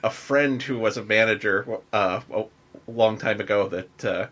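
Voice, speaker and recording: A man's voice: fairly low pitch, moderate pace, a bit bright. A man hosting a podcast, chatting casually in a joking, self-deprecating manner. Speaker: podcast host. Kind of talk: casual chat.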